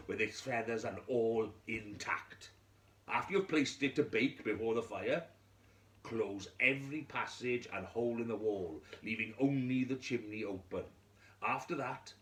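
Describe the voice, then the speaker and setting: A man voicing a solemn wise man in a fairy tale, giving deliberate, emphatic and commanding instructions. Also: lowish voice, a bit bright. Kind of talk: storybook character reading.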